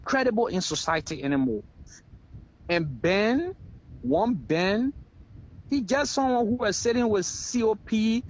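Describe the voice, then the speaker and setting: A man's voice: sits high, expressive, unhurried, pauses often, highs cut off. A man with a Liberian accent giving heated political commentary as a talk show guest, emphatic and repeating phrases for effect.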